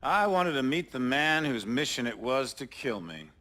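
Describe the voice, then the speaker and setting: An actor playing a menacing military contractor boss in a video game, a male villain who lectures with measured condescension. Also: mid-range voice, very animated, background noise, natural voice. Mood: cold, displeased. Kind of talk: scripted cinematic game acting. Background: dramatic score.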